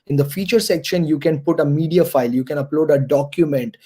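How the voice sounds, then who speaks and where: Guy mentoring students on a screen share, kinda high mellow voice, keeps saying okay?. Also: fast talker, husky. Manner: coaching, repeats points, checks in with "okay?". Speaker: mentor presenting a career workshop. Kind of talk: online presentation to students.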